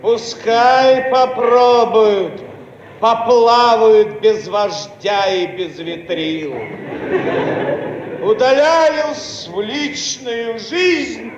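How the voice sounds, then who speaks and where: An actor playing a pompous, self-pitying Soviet bureaucrat in a theatrical radio play, declaiming plaintively. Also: male voice, very high-pitched, extremely slow, full character voice. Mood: wounded pomposity. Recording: echoey, noisy, dull archive radio recording.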